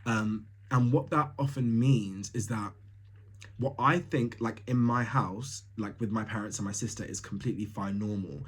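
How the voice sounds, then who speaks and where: A man's voice: on the low side, normal speed, breathy edge. A young man from Tanzania hosting a solo podcast, talking casually and bluntly, laughing often with lots of fillers. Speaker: podcast host.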